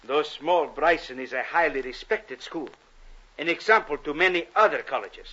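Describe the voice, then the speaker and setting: A man's voice: fairly high pitch, expressive, normal speed, bright, forceful tone. An actor playing a cold, commanding male Soviet agent in a 1950s radio drama. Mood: menacing. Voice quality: clipped, coldly commanding. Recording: dull old radio broadcast with hiss.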